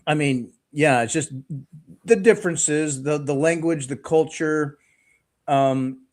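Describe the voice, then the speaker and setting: A man hosting an interview, easygoing and folksy, talking in a relaxed way with sayings and fillers. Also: a little high, slow. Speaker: podcast host. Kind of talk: casual interview conversation.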